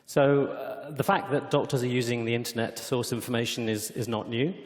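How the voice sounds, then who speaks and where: A man giving a product demonstration at a conference, explaining in a measured way, recorded in a reverberant hall. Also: mid-range voice, fairly even intonation. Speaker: company executive presenting a product demo. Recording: echoey hall with long reverb.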